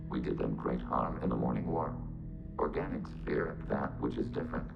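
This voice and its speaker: Game machine collective: bottomless pitch, gravel-laden, robotic, detached and formal, slightly dull audio. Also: male voice, full character voice. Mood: detached, matter-of-fact. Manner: detached, literal, measured and formal. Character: calm synthetic machine being speaking for a collective. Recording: dull muffled sound under loud music.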